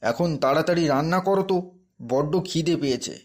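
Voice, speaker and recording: A man's voice: on the high side, normal speed, a little mellow, natural voice. A man playing a poor, hardworking village woodcutter and weary husband in a fairy tale cartoon, speaking earnestly. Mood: agreeable.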